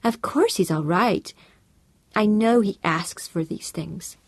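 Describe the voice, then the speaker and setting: A woman's voice: medium pitch, slow, husky, no room sound. A woman narrating an audiobook voices an earnest, chirpy American housewife with a sing-song American accent.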